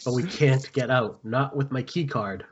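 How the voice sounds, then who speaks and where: A man's voice: medium pitch, normal speed, muffled recording, lightly acted. A man playing a sardonic commando in a horror script table read. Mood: dry, grim.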